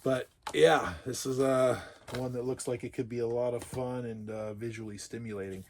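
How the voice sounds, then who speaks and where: A middle-aged man with a sick, raspy voice, chatting casually and musing aloud as he unboxes his purchases. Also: medium pitch, fairly even intonation, moderate pace, darkish tone, a little hiss or hum. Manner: chatty, musing, laughs often. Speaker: collector vlogger.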